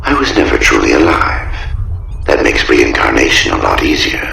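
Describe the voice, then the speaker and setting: An actor playing a haughty, menacing immortal machine intelligence in a video game, speaking deliberately. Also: male voice, very deep voice, very animated, vocal fry, full character voice. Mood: haughty menace. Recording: echoing, distant voice with music behind.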